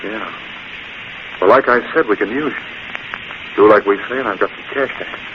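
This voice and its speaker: Actor playing a low-voiced cocky American gangster boss. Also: male voice, normal speed, lightly acted. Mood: cocky, bargaining. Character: tough, slangy, cocky American racketeer boss. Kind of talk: 1940s radio acting. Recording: muffled, noisy 1940s radio recording.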